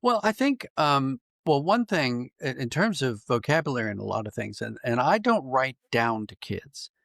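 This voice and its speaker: A man answering interview questions conversationally as a writer, thoughtful and halting, circling back to rephrase as he goes. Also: medium pitch, big pitch swings, gravelly, fairly bright tone, no echo, clean studio sound. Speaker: podcast guest, writer and dramatist.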